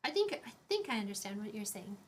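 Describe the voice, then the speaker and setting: A woman streamer with a higher-pitched voice, chatting casually on a live stream in a rambling way with many fillers and restarts, picked up by a shotgun mic set a few feet away. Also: big pitch swings, fast talker. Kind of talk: casual live-stream chat, reading chat questions. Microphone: shotgun condenser mic about five feet away.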